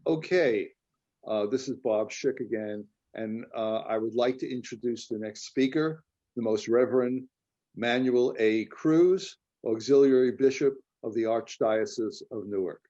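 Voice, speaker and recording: A male moderator with a mellow, warm voice reads formal speaker introductions. His delivery is plain and steady, as if from notes. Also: mid-range voice, unhurried, muffled recording.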